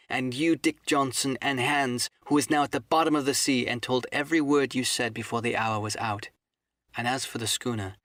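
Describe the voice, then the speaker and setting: A man's voice: medium pitch, a bit bright, no room sound, studio quality, natural voice. A man voicing a bold, defiant boy adventurer with earnest, declamatory speech in an audiobook reading.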